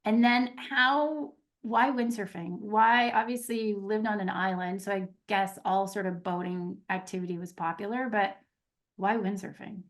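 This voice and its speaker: A woman's voice: medium pitch, normal speed. A woman hosting a webinar interview over a video call, asking curious questions and often saying "like". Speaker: host, leadership coach.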